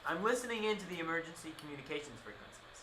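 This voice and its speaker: A man playing an excitable, smug field researcher in an amateur radio play, agitated and full of know-it-all confidence. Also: fairly high pitch, very fast, noisy background, lightly acted. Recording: roomy, echoing sound.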